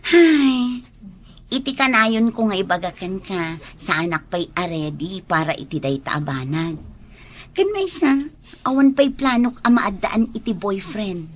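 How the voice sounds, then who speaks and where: A woman's voice: medium pitch, unhurried, lightly acted. A woman acting as a defensive, self-reliant single mother in an audio drama, with an Ilocano accent.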